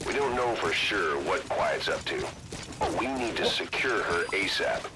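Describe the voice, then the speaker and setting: Level-headed low-voiced military adviser. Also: male voice, expressive, background noise. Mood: calm, pondering. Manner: measured, matter-of-fact briefing. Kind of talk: video game mission briefing.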